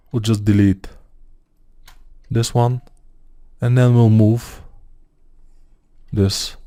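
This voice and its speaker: A man with a Bulgarian accent talks through his modeling work at a computer, thinking aloud in a slow, unhurried way with plenty of "uh"s. His voice is low and mellow. The sound is dry and close, like a desk mic at a computer. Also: no room sound. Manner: thinking aloud, hesitant with fillers, non-native phrasing. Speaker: 3D modeling tutor. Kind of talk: screen-recorded software tutorial.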